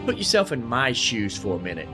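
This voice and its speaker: A man's voice: medium pitch, wildly swooping pitch, fairly quick, over music. A man giving casual let's-play commentary, thinking aloud and repeating himself as he goes. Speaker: gamer, host of a roleplay series.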